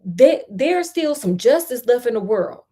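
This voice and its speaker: A woman's voice: on the high side, sing-song, measured pace, mellow. A woman with an American accent voicing a foolish, stubborn male peasant in a storybook, blustering and indignant as he complains.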